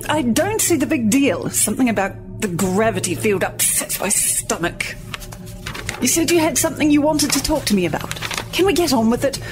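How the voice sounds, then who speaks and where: An actress playing a prickly, sardonic corporate woman on a space station in a sci-fi audio drama. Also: high-pitched. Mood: impatient. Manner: sardonic, cutting.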